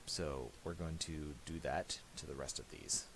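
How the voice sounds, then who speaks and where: A man giving a casual software tutorial, speaking haltingly and restarting his phrases as he explains each step. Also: very deep voice, fairly even intonation, background noise. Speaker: tutorial presenter.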